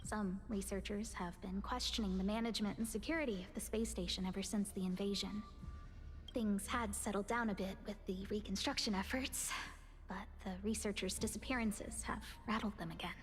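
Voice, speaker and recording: A woman's voice: medium pitch, fairly even intonation, fairly quick, lightly acted. An actress playing a composed, concerned young woman in charge of a space station, polite and articulate, in a game cutscene. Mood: grave, concerned. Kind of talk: scripted game cutscene acting. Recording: long reverb, music under the voice.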